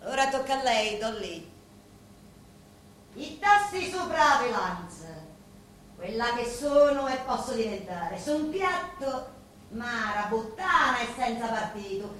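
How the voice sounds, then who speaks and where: An actress playing a proud, determined young Sicilian woman in a radio play, with a Sicilian accent and deliberate, dramatic delivery full of weighty pauses. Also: a little high, lively intonation, fairly bright tone. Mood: solemn, calculating. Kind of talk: theatrical monologue in a radio play. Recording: very echoey room, faint background noise.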